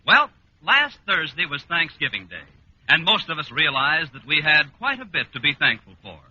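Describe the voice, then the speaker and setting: A man's voice: a little high, big pitch swings, normal speed, pushed and bright, breathy edge. A man announcing a mid-century radio show in a polished, emphatic, scripted style. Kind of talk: scripted 1940s-50s sponsor and show introduction. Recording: muffled, faintly noisy old radio recording.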